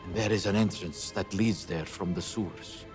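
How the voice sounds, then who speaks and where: An actor playing a gentle, secretive, learned priest in a video game. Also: male voice, low-pitched, normal speed, music in the background, natural voice. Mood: guarded, kindly. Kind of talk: cinematic game dialogue.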